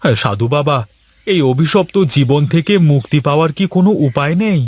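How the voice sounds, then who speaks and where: A man voicing an anxious, pleading wealthy husband in a fairy-tale cartoon, with earnest, expressive delivery. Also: fairly high pitch, moderate pace, no echo.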